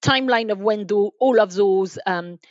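A woman giving explanatory interview answers as a podcast guest, halting and repeating words as she goes. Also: medium pitch, dry recording, highs cut off. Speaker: podcast guest, enterprise sales manager.

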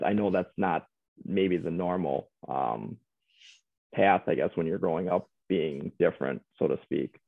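A man's voice: low-pitched, creaky, no room sound. A man speaking as a guest, reflecting hesitantly with many fillers and restarts. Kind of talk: reflective conversational storytelling. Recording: phone-like narrow sound, dry.

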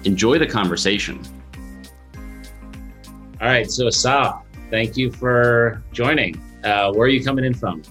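A man's voice: medium pitch, measured pace, pauses often, fairly bright tone, soft background music. A man hosting a podcast, reading an intro and then asking friendly questions. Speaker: podcast host. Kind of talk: scripted intro and interviewing.

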